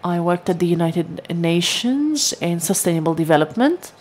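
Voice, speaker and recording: A woman giving simultaneous interpretation of a lecture, halting often with long hesitations. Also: on the low side, lively intonation, a little mellow, slight background noise. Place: lecture hall.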